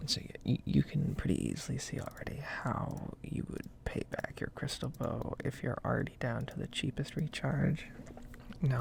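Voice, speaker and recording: Whispering, very creaky woman, ASMR ramble. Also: very deep voice. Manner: soft-spoken, rambling, casual asides. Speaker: gaming video creator.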